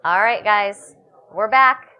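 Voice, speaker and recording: A woman's voice: medium pitch, takes it slowly, clear, resonant tone, muffled recording. A woman leading a live workshop for loan officers, upbeat and coaching, calling on people in the room. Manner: upbeat, coaching, calls on participants. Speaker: workshop trainer.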